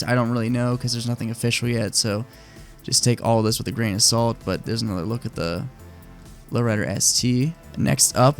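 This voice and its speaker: A man getting over a cold, chatting casually and opinionatedly in a relaxed, rambling way full of fillers, hosting his own video. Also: mid-range voice. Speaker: motorcycle vlogger. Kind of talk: casual screen-share commentary.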